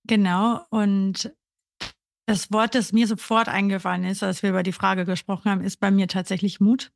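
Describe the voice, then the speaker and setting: A woman's voice: medium pitch, flat delivery, normal speed, creaky. A thirty-year-old woman from Austria, talking casually and personally as a podcast co-host. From Burgenland, Austria. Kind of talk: casual personal conversational talk.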